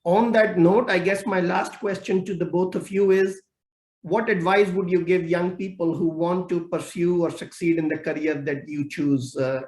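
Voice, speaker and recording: A man's voice: on the high side, a little mellow. A man hosting an interview with an Indian accent, asking brief and polite questions. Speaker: host. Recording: roomy echo.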